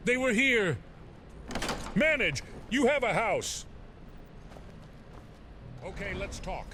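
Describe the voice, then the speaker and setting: A man's voice: high-pitched, big pitch swings, slow pace, background noise, full character voice. A man voicing a frantic, paranoid old man in hiding who rambles in fantasy game dialogue. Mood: paranoid, agitated. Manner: rambling, agitated.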